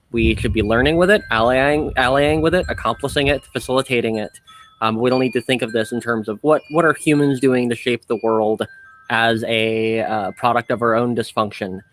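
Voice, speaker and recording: A podcast host talking casually in an earnest, discursive way, rephrasing ideas along the way. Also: mid-range voice.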